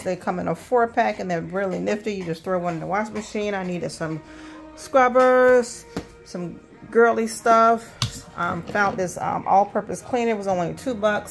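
A middle-aged woman hosting a casual grocery haul vlog at her kitchen counter, talking fast and chatty with lots of ums while filming on a handheld phone. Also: medium pitch, creaky. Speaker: host of a budget vlog. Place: indoors at a home kitchen counter. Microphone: handheld phone filming items.

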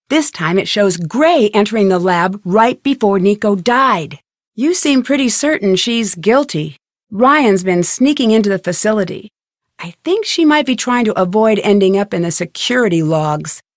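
A woman playing a young, inquisitive and determined female amateur detective in a video game, speaking her scripted lines clearly. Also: mid-range voice, no echo, studio quality, close to an everyday voice. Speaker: voice actress in a detective adventure game.

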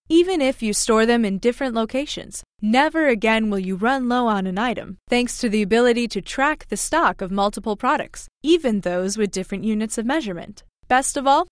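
A woman's voice: fairly high pitch, dry recording, studio quality. A woman reading scripted promotional narration for a product demo.

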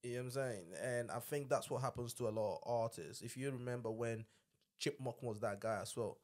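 A man's voice: medium pitch, steady tone, brisk. A man, hosting a casual studio chat in an emphatic, slangy way, often repeating himself. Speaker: podcast host. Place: small podcast studio. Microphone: podcast microphone on boom arm.

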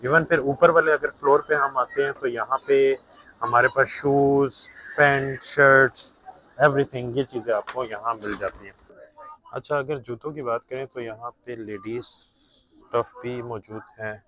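A man's voice: medium pitch, fairly even intonation, measured pace, pushed and bright, muffled recording. Chatty man vlogging in a store. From Pakistan. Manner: chatty, mixes in English words, talks to viewers as brothers. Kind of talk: casual shopping vlog narration. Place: large indoor discount store. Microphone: phone held by the filming hand.

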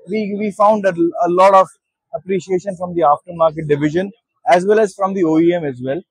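Husky Indian man touring a stall. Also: sits high, darkish tone, no room sound, rough recording. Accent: Indian English. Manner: hesitant, with many fillers and restarts. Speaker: managing director at a trade show. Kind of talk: guided product walkthrough. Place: busy exhibition hall stall.

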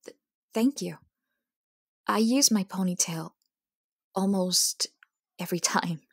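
A woman voicing a shy, reserved young princess cat in a cartoon dub, breathy and hesitant, easily flustered. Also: mid-range voice, slow, no echo, studio quality, full character voice. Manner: soft, hesitant, stammering. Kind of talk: cartoon comic dub acting.

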